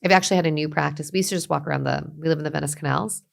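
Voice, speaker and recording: A middle-aged woman, a guest talking reflectively in an interview, with many restarts and soft trailing endings. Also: sits low, steady tone, rapid-fire, vocal fry. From Los Angeles, USA. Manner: thoughtful, many fillers and restarts, trailing softly. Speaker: guest, beauty brand founder.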